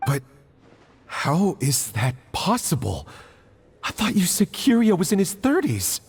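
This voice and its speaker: Husky, earnest young man. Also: high-pitched, huge pitch swings, measured pace, full character voice. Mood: distressed disbelief. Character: earnest, loyal young man, shaken and stubborn. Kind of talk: video game voice acting.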